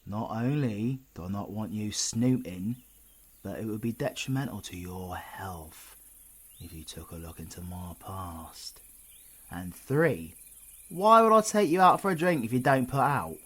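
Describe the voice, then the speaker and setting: Male actor as cocky British supervillain for audio roleplay: gruff, sardonic, husky, unhurried, teasing the listener up close. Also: lowish voice, animated, a bit bright, exaggerated voice. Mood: aloof, sardonic. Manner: gruff, sardonic, swearing banter.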